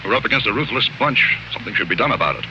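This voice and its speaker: Proper, indignant male attorney played by an actor, quick-spoken 1940s radio style, dull vintage sound with clear hiss. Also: fairly low pitch, lively intonation, natural voice. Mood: startled, concerned. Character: indignant, proper male lawyer, easily startled. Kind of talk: 1940s radio drama acting. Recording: dull, noisy old radio recording.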